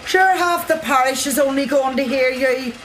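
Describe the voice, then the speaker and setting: A woman's voice: sits high, normal speed, slight background noise, lightly acted. A woman playing a bawdy older mill worker. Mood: teasing. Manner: teasing, earthy. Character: middle-aged mill worker, bawdy and motherly. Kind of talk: radio drama acting.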